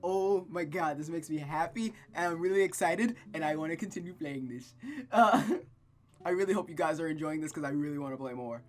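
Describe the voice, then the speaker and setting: An informal, mid-pitched streamer narrates a visual novel aloud and comments on it. The delivery is enthusiastic and a bit stumbling, with guitar music behind. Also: fairly quick. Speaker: storytime streamer reading a game aloud. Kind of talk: casual gameplay commentary and read-aloud narration.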